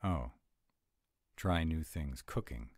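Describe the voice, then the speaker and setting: A man performs a tsundere male were-dragon in an intimate audio roleplay, with an extremely low voice and an unhurried pace. He grumbles in exasperation yet has a soft, boyish side, and his delivery swings widely in pitch. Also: lively intonation, no echo, studio quality, exaggerated voice. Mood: reluctant, exasperated. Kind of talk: audio roleplay acting, addressed to the listener.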